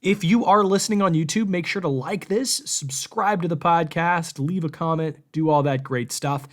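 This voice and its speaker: A man's voice: a little high, normal speed. Casual male podcast host chatting. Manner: informal, loose, conversational. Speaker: podcast host and game reviewer. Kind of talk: casual podcast interview chat.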